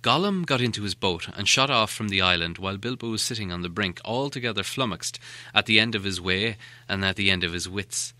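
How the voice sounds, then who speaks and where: A man reading a storybook aloud as an expressive audiobook narrator. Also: on the low side, brisk, no room sound.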